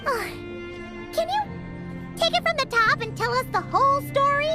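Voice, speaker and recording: A woman voicing a small, childlike, excitable floating companion in a video game, chatty, inquisitive and easily exasperated. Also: extremely high pitch, moderate pace, loud music behind the voice. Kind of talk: animated video game dialogue.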